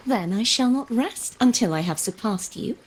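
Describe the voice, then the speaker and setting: An actress playing an earnest, resolute young maiden knight in a video game, speaking in formal, measured phrases. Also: female voice, fairly high pitch, lively intonation, a little hiss or hum, natural voice, rough recording. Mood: solemn, determined.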